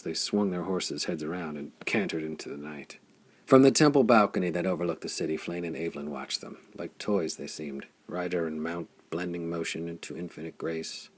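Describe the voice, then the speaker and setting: A man narrating a pulp adventure story for an audiobook, with a measured reading that grows excited with the action. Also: low-pitched, slightly rough.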